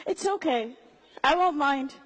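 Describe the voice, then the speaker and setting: A young woman giving a public speech to a live audience, rallying the crowd with questions and repeating lines for emphasis, in a husky voice. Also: high voice, animated, a little slow. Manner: rhetorical repetition. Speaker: speaker.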